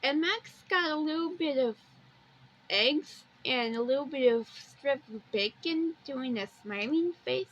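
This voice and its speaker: A woman with a high-pitched voice hosts a homemade walkthrough in a casual way. She describes what she sees in plain terms and drifts into her own little asides. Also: lively intonation, unhurried, fairly bright tone, lo-fi sound. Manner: plainly describes pictures, wandering into personal asides. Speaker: amateur video host.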